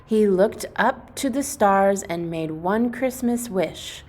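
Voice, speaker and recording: A woman reading a storybook aloud to children, expressively and deliberately, phrase by phrase. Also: mid-range voice, lively intonation, darkish tone.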